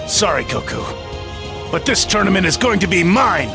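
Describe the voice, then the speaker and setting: A man playing a proud, stern martial arts fighter in an anime game, speaking in a measured, self-assured, formal way with a gravelly voice. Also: high-pitched, big pitch swings, music in the background, exaggerated voice. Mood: confident, challenging. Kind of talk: anime video game voice acting.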